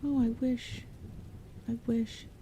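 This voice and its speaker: A woman's voice: fairly high pitch, measured pace, mellow, a little hiss or hum, pitched above the performer's own voice, natural voice. A woman voicing an exasperated teenage girl with a pleading, theatrical way of talking. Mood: weary.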